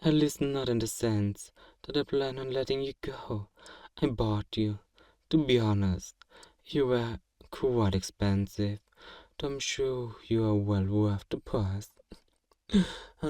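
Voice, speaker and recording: A man playing a kind, courteous vampire gentleman in an ASMR roleplay, speaking gently and reassuringly to the listener in an old-fashioned, genteel way. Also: lowish voice, no room sound, studio quality, lightly acted. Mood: apologetic, candid. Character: kind, wealthy, genteel male vampire owner, soothing and possessive.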